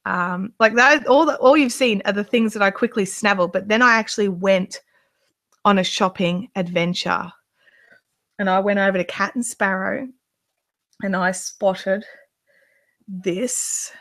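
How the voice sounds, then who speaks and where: A woman's voice: mid-range voice, measured pace, long pauses, fairly bright tone. A woman in her thirties, a yarn dyer and vlogger, chatting on a live show from her living room couch with the camera in front of her. She talks in a rambling way, with lots of ums and restarts, and gushes over yarn. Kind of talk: casual live chat show-and-tell.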